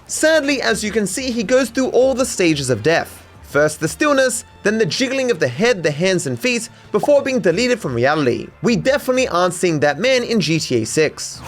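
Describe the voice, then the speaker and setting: High-pitched, lively Australian man narrating. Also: normal speed, hardly any pauses, faint music behind. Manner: dry, mock-serious sarcasm. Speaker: video creator and narrator. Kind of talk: scripted comedic video essay narration.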